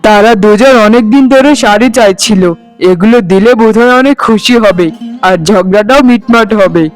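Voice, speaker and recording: A man's voice: sits high, clean tone, close to an everyday voice. A man playing a harried village husband in a cartoon, complaining and pleading. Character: harried village husband of two quarrelling wives. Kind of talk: cartoon dialogue.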